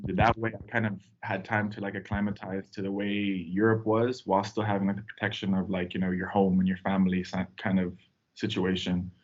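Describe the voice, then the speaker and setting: A man's voice: low voice, flat delivery, fairly quick, darkish tone. Young man telling stories, lo-fi sound. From Tanzania. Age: young adult. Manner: rambling, storytelling with fillers. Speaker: podcast guest. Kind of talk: casual podcast chat.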